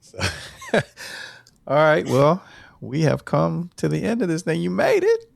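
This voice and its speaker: Male host, low gravelly voice, lively pitch, easygoing and affirming. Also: measured pace, dry recording. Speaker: interviewer, host. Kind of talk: interview questions.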